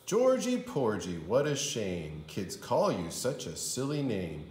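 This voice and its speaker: Male kindergarten teacher for children's rhyme read-aloud: theatrical and sing-song, swooping pitch, recorded in a roomy space. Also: huge pitch swings, takes it slowly, darkish tone, a little hiss or hum. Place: indoor room. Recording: roomy indoor echo.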